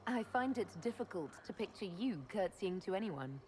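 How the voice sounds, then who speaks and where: A woman's voice: medium pitch, animated, moderate pace, a little hiss or hum, natural voice. A woman playing a resolute, inquiring leader of a quest in a fantasy game, direct and composed in manner. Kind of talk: fantasy game dialogue acting. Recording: loud game music behind the voice. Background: game soundtrack music.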